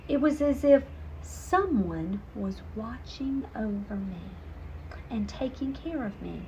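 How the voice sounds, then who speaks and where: A woman voicing a gentle, wondering young female cat in an earnest storybook reading. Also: on the high side, lively intonation, slow pace, noisy background. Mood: tender.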